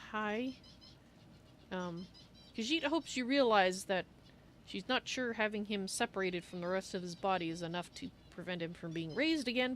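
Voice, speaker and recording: A woman's voice: medium pitch, fairly bright tone, a little hiss or hum. A woman voicing a polite, hesitant cat-folk adventurer from a fantasy game, with a wry manner. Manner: speaks of herself in third person, hesitant, wry.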